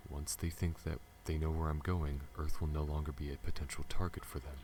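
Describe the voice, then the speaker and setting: A man playing a guarded, protective alien smuggler pilot in an ASMR audio roleplay, earnest and halting, speaking to the listener. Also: extremely low pitch, flat delivery. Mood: reassuring, earnest. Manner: halting, stammers when flustered, earnest. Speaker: voice actor. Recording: audible background noise.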